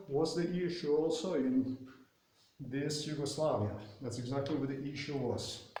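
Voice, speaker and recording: A man from Slovenia with a Slovenian accent, speaking English as a second language. He delivers an indignant political monologue, full of pauses and fillers, while doing exercise. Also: fairly high pitch, mellow, echoey room, rough recording. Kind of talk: solo vlog monologue.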